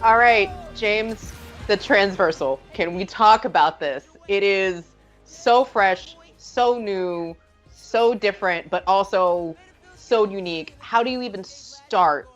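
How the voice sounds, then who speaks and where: A woman's voice: medium pitch, slow pace, highs cut off, soft background music. A woman hosting a live game showcase, enthusiastic and stretching out her words for emphasis. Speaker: stream host.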